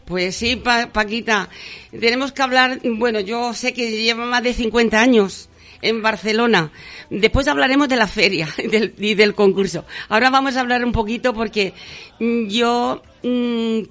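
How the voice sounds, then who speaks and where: A woman's voice: fairly high pitch, normal speed, a bit bright. Older woman, radio host, hesitant, lots of fillers, studio. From Andalusia, Spain. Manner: hesitant, many fillers and restarts. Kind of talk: radio interview.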